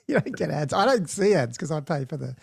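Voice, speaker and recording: A man's voice: a little high, wildly swooping pitch, moderate pace, creaky, slightly rough, no room sound, clean studio sound, soft background music. A man with an Australian accent, hosting a chatty tabletop gaming podcast in a rambling, laughing way. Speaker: podcast host. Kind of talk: casual podcast chat.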